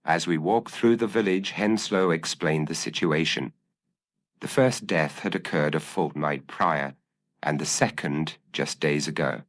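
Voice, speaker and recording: A man's voice: extremely low pitch, measured pace. A man narrating a scripted gothic mystery story in a formal, literary storytelling manner.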